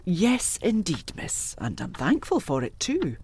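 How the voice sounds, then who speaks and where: A woman's voice: fairly low pitch, huge pitch swings, a little slow, a little mellow, slight background noise, full character voice. A woman playing a loyal, plain-spoken older housekeeper in a gothic period audio drama, emphatic yet deferential. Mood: frank. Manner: deferential, emphatic, old-fashioned servant speech.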